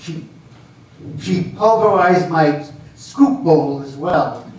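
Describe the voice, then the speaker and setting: A man's voice: a little high, takes it slowly, darkish tone. An amateur actor, a man, playing an aggrieved, complaining custodian in a stage comedy reading. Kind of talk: amateur readers theater comedy acting. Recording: very echoey hall from far off, faint hiss.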